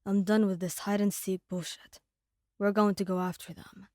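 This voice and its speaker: A woman's voice: mid-range voice, little pitch movement, normal speed, a little mellow, no echo, clean studio sound, lightly acted. A woman voicing an angry, aggressive young male mafia boss in an audio roleplay, impatient, growling and swearing. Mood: aggressive, determined.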